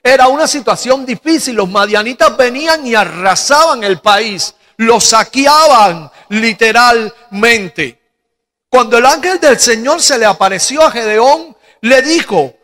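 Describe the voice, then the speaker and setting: A middle-aged man from Cuba with a Cuban Spanish accent, preaching a sermon into a handheld mic in a large church hall, slow and deliberate, with rhetorical questions. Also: very high-pitched, sharp tone. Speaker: pastor. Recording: long hall reverb.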